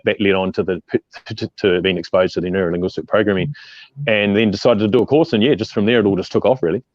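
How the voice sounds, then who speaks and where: A man in his forties with a New Zealand accent, a mindset coach talking as a guest on a video call in a relaxed, plain-spoken way. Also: lowish voice, no echo. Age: 40s. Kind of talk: casual conversational interview. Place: outdoors by a brick wall. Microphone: earphone mic on video call. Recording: dry, dull video call audio.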